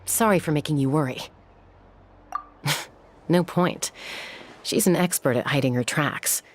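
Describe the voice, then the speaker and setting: An actress playing a calm, reflective young woman in a video game, telling things in a measured, wistful way. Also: deep voice.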